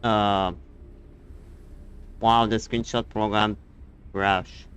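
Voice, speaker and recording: A man's voice: on the low side, level tone, drawn-out words, a bit bright, smooth. A man livestreaming a video game with casual, sweary commentary. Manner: casual swearing, muttering exasperation.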